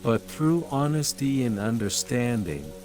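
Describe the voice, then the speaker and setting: A man narrating a sleep story in a calm, measured, soothing way, as scripted bedtime storytelling. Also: mid-range voice. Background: calm music and rain sounds.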